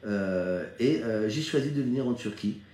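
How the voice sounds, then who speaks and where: A man's voice: fairly low pitch, lively intonation, normal speed, dark tone. A middle-aged man from eastern France giving an informal motivational talk as a business leader, conversational and often checking that listeners follow. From Strasbourg, Alsace, France. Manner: conversational, seeks listeners' agreement with frequent check-ins. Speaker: network marketing leader. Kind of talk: informal motivational talk recounting own career. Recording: echoey, roomy sound.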